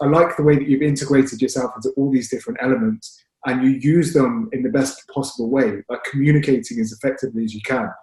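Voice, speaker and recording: A man's voice: medium pitch, a little mellow, roomy sound, poor audio. A man, a fitness coach hosting, asking questions in a chatty, encouraging way over a video call. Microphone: video call webcam.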